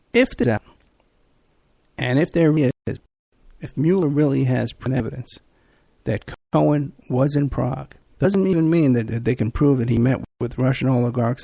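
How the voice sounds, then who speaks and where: A man hosting a podcast, ranting in an animated, repetitive talk-radio monologue, hoarse and losing his voice. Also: mid-range voice, no echo. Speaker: podcast host.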